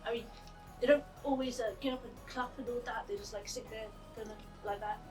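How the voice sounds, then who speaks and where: High-voiced playful woman, distant outdoor mic. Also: fairly quick. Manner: playful, expressive. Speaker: cast member being interviewed. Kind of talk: casual chat. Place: outdoors on a sea front. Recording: distant mic outdoors, faint music behind.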